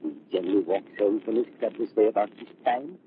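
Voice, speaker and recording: An actor playing a smooth, manipulative scientist doctor, theatrical and insinuating, in a 1930s radio mystery. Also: male voice, lowish voice, quick pace, lightly acted. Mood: sly, coaxing. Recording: noisy, muffled 1930s radio recording.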